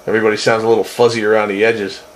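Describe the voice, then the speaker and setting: A man with a fairly low voice chats casually over CB radio. He talks in a folksy, colloquial way, and the transmission carries some faint noise. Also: level tone, very fast. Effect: two-way CB radio. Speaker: CB radio operator. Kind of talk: casual two-way radio chat. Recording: noisy two-way radio transmission.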